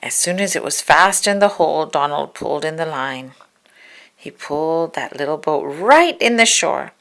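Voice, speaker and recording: A woman reads a children's story aloud as its narrator. Her voice sits on the low side and has a clear creak of vocal fry. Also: animated, normal speed, a bit bright. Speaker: storybook reader.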